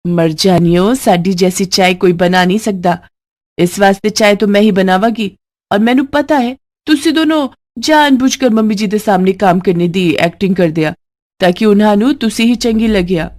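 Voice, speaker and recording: A woman's voice: medium pitch, moderate pace, darkish tone, natural voice. A woman voicing a feisty, bossy young Punjabi daughter-in-law with an emphatic delivery and Punjabi accent. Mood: defiant.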